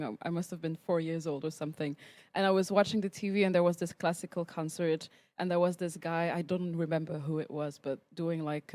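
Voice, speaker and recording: A young woman from Switzerland recounts her musical path in a podcast studio, her voice sitting slightly low. She breaks into small laughs often and fills gaps with ums. The sound is dry and close. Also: darkish tone, dry recording. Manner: laughs often between phrases, with many ums and restarts. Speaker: guest musician. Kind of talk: casual interview storytelling.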